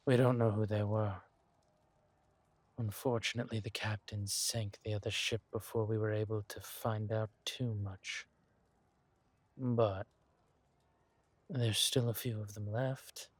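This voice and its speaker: A man voicing a suave, menacing villain king who is doting with his lover and talks in a smooth, teasing, courteous drawl for a roleplay audio drama. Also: fairly low pitch, fairly even intonation, takes it slowly, long pauses, no room sound. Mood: controlled, conspiratorial.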